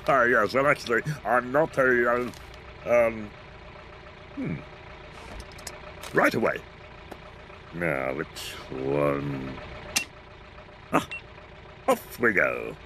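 A man's voice: lowish voice, expressive, drawn-out words, pauses often, slight background noise. A man voicing a bumbling, awkward man who mumbles, hesitates and stammers. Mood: flustered. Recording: cartoon soundtrack with loud music.

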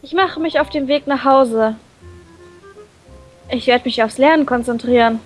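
High-voiced, slow-paced woman reading aloud. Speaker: co-reader in a group visual novel let's play. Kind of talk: casual read-aloud narration of a visual novel. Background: background game music.

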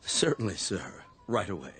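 Polite waiter, formal, sir this sir that, low voice. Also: male voice, sing-song, normal speed, bright, forceful tone, heavily acted. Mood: deferential. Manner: deferential, formal. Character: polite, nervous male restaurant waiter. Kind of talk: radio drama acting. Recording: radio play with music underneath.

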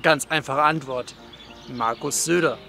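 A man's voice: a little high, measured pace, gravelly, pushed and bright. A man from northern Germany talking to camera as a crew member, with a dryly joking manner. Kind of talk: self-introduction and short answers to camera. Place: outdoors in a park. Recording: music in the background.

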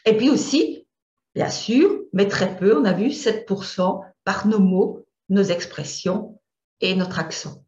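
A woman's voice: mid-range voice, expressive, takes it slowly, a little mellow. A middle-aged woman from Belgium with a Belgian French accent, teaching an image coaching lesson over slides, often hesitating and repeating words as she searches for them. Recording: roomy, dull lo-fi sound.

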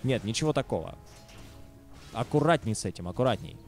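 A man's voice: medium pitch, animated, fairly quick, a little mellow, faint music behind. A man commentating a video game match live, with casual, sardonic asides to viewers. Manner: wry, sardonic. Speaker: esports commentator.

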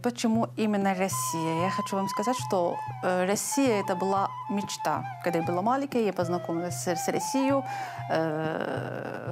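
A woman in her thirties from Morocco, an Arabic teacher, speaking earnestly in slightly halting, non-native speech with a lapel mic in a classroom. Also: mid-range voice. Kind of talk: on-camera interview. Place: indoor classroom.

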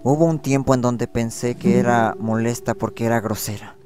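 A man's voice: medium pitch, fairly even intonation, moderate pace, loud music behind the voice, close to an everyday voice. A man playing a reflective, awkward teenage schoolboy in an amateur manga dub, speaking hesitantly. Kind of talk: amateur manga fandub narration and dialogue.

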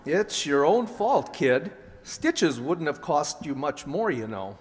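An older man acts out a fussy, put-upon comedian from old-time radio comedy. He speaks slowly in a lively, rather high voice. The sound is that of an echoey hall stage. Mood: exasperated. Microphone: stand microphone.

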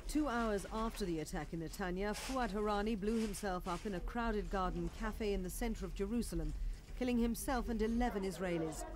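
A woman delivering scripted news narration as a voice-over reporter, in a formal, measured way. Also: mid-range voice. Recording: noisy track with crowd sound. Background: crowd and street ambience under the voice-over.

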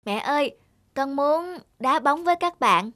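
A woman voicing a sheltered, embarrassed boy who pleads and protests, in an animated moral tale. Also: high voice, a little slow. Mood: plaintive, protesting. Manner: plaintive, protesting. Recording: loud music over the voice. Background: loud music.

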